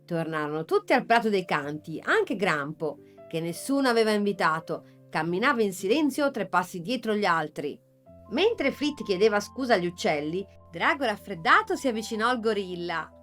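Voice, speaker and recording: A woman narrating a children's fairy tale in a soft, measured way with dramatic pauses. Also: mid-range voice, sing-song. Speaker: storyteller. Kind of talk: gentle scripted story narration. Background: soft music.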